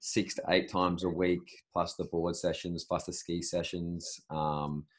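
Low-voiced young Australian man. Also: unhurried. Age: 20s. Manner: relaxed, rambling with restarts. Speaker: podcast host, interviewee. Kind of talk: casual interview answers.

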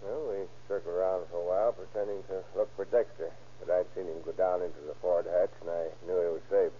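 Low-voiced, deferential old-radio boat captain. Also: male voice, little pitch movement, fast, warm tone. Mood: measured testimony. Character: deferential male boat captain, a plain-spoken witness. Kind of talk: 1940s radio acting. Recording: muffled, hissy old radio recording.

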